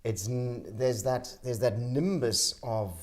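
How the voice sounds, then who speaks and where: A man's voice: medium pitch, takes it slowly. Stammering man in his forties. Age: 40s. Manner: stammering restarts, reflective. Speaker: co-host, actor. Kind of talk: casual chat.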